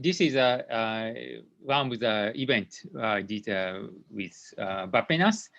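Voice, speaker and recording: Japanese accent guy doing a slide talk online, lots of halting ums, muffled lofi sound, very dry. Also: medium pitch, fairly bright tone, dry recording. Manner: halting, hesitant delivery with frequent long fillers. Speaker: company founder and CEO giving a presentation. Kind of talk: workshop slide presentation. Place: online video meeting. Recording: dull, lo-fi online meeting audio.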